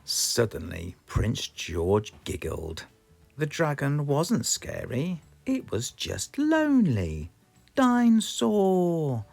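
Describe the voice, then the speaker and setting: Slow, gravelly British storyteller man. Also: medium pitch, faint music behind. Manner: slow, expressive, sing-song storytelling. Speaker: storybook reader. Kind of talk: children's storybook read-aloud.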